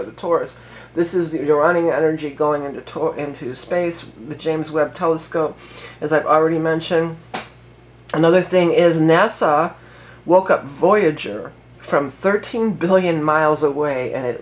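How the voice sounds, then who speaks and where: A woman's voice: low-pitched, a little hiss or hum. A woman astrologer still getting over a head cold, giving a casual, rambling forecast full of fillers and self-corrections.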